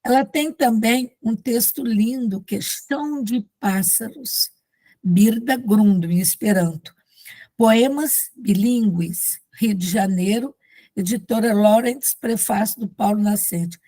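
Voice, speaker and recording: A Brazilian woman hosting a literature course, reading a formal introduction of a guest with small slips and warm asides. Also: on the high side, slow, dry recording. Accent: Brazilian Portuguese.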